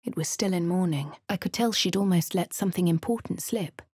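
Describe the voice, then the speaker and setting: A woman with a posh English accent giving refined, measured first-person narration for a game character. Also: lowish voice, a little mellow, breathy edge, no room sound. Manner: refined, measured, clipped delivery. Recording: dry studio voice-over.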